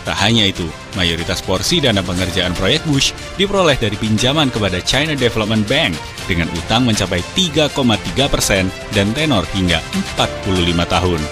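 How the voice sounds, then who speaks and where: News feature narrator: man, low and very varied delivery over a clear music bed.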